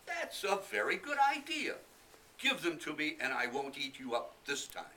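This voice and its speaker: A man voicing greedy, boastful tigers in a storybook, loud and showy, recorded from a distance with faint noise. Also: fairly high pitch, wildly swooping pitch, normal speed, voice pushed up, exaggerated voice. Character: boastful greedy male tigers, big and menacing.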